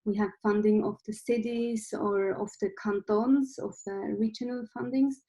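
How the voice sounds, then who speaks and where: A woman's voice: mid-range voice, steady tone, normal speed, warm tone, rough recording. A woman in her forties from Switzerland, a film director speaking on a video call from a room at home with bookshelves, thoughtful and full of restarts. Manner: thoughtful, with many restarts and fillers. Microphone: laptop webcam video call.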